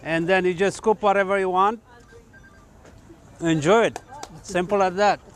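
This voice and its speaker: Brief: older man from Ethiopia, slightly high voice, easygoing talk with pauses. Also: smooth. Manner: relaxed, hesitant with fillers. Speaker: Rotary volunteer. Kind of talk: casual speech to a group.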